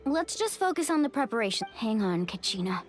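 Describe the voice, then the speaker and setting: A woman playing an eager, worried young heroine with a brisk manner in a video game. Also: a little high, big pitch swings, soft background music. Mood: earnest, determined.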